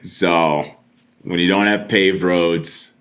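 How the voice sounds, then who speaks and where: Deep-voiced man swapping slangy stories. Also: slow pace, clear, resonant tone. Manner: profane, slangy, animated exaggeration. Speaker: podcast guest. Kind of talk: casual comedic storytelling.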